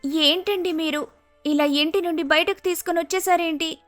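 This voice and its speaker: A woman voicing a distressed, self-blaming young wife in an animated moral story, speaking in an earnest, plaintive way. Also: very high-pitched, moderate pace, soft background music. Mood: bewildered.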